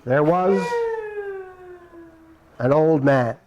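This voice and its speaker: A man's voice: fairly high pitch, drawn-out words, dull audio, noisy background. A man telling a folktale live on stage, playful and repeating his phrases for effect. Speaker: storyteller. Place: stage before a live audience.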